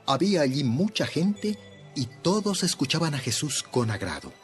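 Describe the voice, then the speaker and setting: A man's voice: fairly high pitch, sing-song, moderate pace. A man narrating a dramatized audio Bible as scripted storytelling. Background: music.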